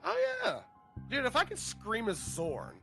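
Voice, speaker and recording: Man, voice actor, hoarse, joking, self-deprecating, lively pitch, music behind. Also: measured pace. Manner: animated, jokey, self-deprecating. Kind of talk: casual chat on a call.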